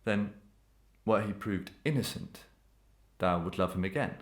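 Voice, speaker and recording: A man's voice: fairly low pitch, measured pace, reverberant, close to an everyday voice. A man playing a tormented, menacing murderer husband in a Victorian melodrama, declaiming grandly and commandingly in archaic English. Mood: intense, probing. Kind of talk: old-fashioned melodramatic stage acting.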